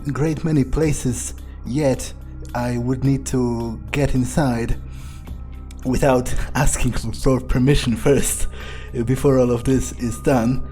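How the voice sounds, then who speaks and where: Man playing a mellow, arrogant male vampire. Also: mid-range voice, close to an everyday voice. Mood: musing, self-assured. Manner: halting, musing, with pauses, hums and dry chuckles; disdainful and profane. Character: arrogant, cynical male vampire, cruelly amused, scheming and cold. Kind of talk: improvised in-character game roleplay monologue. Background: music behind the voice.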